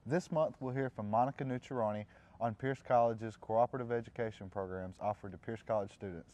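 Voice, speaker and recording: A man's voice: lowish voice, a little fast, mellow. A man co-hosting a magazine show, reading scripted segment introductions. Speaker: co-host.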